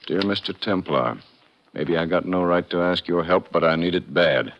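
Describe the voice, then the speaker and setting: An actor playing a gloomy, harried prison warden in a 1950 radio drama, weary and blunt. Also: male voice, sits low, moderate pace, dull audio, a little hiss or hum. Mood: grave. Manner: weary, blunt, matter-of-fact. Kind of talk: 1950s radio drama acting.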